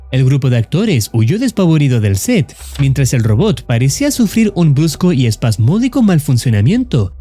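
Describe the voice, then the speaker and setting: A man narrates a horror tale in a steady, solemn voice, leaning on ominous words. His tone is mellow and dark, and his pitch rises and falls in a lively way. Faint music plays under his voice-over. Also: breathy edge. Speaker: YouTube horror channel narrator. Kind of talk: scripted narration of a horror story.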